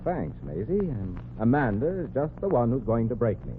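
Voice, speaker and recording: A suave, world-weary millionaire, played by an actor in an old radio comedy, drawling dry sardonic remarks with a lively pitch. The broadcast sounds tinny and thin, with faint hiss. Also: male voice, moderate pace, lightly acted. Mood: rueful. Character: suave weary rich man, dryly sardonic. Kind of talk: 1940s radio comedy acting.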